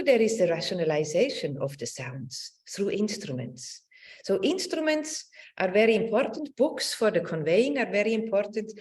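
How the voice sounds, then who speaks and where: A woman's voice: fairly low pitch, a little mellow. A middle-aged woman giving an improvised academic lecture, searching for words and often repeating phrases.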